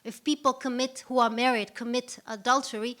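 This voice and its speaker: A woman panellist in a seminar discussion, speaking haltingly with many restarts. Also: fairly high pitch, steady tone, a bit bright.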